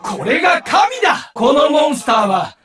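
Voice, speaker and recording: A man's voice: very high voice, big pitch swings, fast, bright, forceful tone, raised voice, full character voice. A man playing a menacing, vengeful young duelist villain in an anime card game, with a theatrical, taunting delivery. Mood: exultant. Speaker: voice actor. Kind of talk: Japanese anime game character lines.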